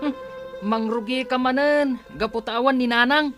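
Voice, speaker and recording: A woman's voice: high voice, fairly even intonation, moderate pace, fairly bright tone, faint music behind. A woman playing a sulky, lazy schoolboy who grumbles in an Ilocano accent in a radio drama. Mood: annoyed. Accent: Ilocano, northern Philippines. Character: sulky, lazy school-age younger brother.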